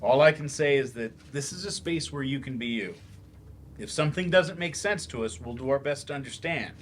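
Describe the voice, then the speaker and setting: A man playing an older remedial English teacher in a staged play reading, gentle, reassuring and gently self-deprecating as he explains things. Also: medium pitch, brisk, a little hiss or hum, lightly acted.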